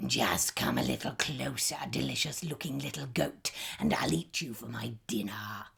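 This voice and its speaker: A woman voicing a huge, grumpy old male troll who booms and growls in a low, mean voice. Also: moderate pace, creaky, fairly bright tone, husky, heavily acted. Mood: menacing.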